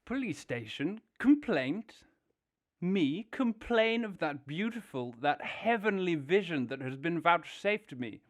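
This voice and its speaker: A man acts out a vain toad lost in rapture for an audio drama. The voice is high and very animated, lingering slowly over grand, gushing phrases. It sounds close and dry. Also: dry recording. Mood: gushing, indignant. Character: vain, rapturous male toad, dreamy and car-obsessed.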